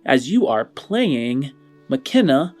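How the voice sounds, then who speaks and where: A man's voice: medium pitch, very animated, takes it slowly, darkish tone. A man hosting and narrating a tabletop roleplaying podcast in a casual style. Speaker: host and game master. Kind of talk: casual show introduction and tabletop game narration. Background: music.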